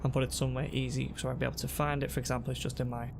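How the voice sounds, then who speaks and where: Guy on a headset, sore throat, bit gravelly, flat chill tutorial voice, faint hiss. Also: mid-range voice, rapid-fire. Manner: relaxed, explanatory, talks to viewers. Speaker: gaming youtuber. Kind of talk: casual gameplay tutorial. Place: home room at a desk.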